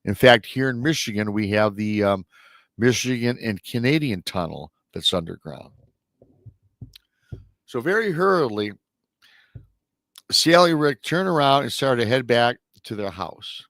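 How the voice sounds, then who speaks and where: A man's voice: mid-range voice, lively intonation, a little slow, pauses often, a bit bright, no room sound. A middle-aged man hosting a live show, retelling a strange encounter story in a chatty way, sounding nasal and a little scratchy from a lingering illness. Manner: chatty asides to viewers. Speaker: paranormal show host.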